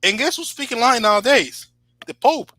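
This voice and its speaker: A man whose first language is Spanish, chatting casually as the host of a talk show, rambling with many fillers and rising to animated emphasis. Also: extremely high pitch, brisk, dry recording. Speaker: channel host. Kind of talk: casual podcast chat.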